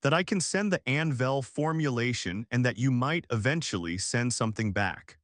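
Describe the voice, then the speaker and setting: A man's voice: medium pitch, animated, fairly bright tone, dry recording, studio quality, close to an everyday voice. A man voicing a formal, careful alien envoy. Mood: hopeful. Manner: precise, formal, measured speech. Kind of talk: scripted audiobook narration.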